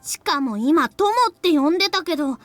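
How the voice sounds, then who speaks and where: Nosy anime classmate girl, very high and swooping, eager, dry studio sound. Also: expressive, quick pace, fairly bright tone, dry recording, faint music behind. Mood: nosy, curious. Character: excitable, nosy schoolgirl classmate in an anime visual novel. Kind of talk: Japanese anime game voice acting.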